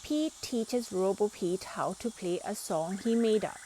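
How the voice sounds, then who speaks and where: A woman's voice: mid-range voice, unhurried, warm tone, a little hiss or hum. A woman reading a children's storybook aloud as the narrator. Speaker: storybook reader.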